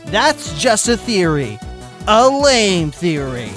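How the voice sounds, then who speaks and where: A smug, taunting aerobics instructor, voiced by a man, who stretches out his mockery and swings his pitch around. Music plays clearly behind this fan audiobook reading. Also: big pitch swings, fairly bright tone, heavily acted. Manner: taunting, drawn-out mocking delivery. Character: smug, cocky male sloth-like aerobics instructor defendant.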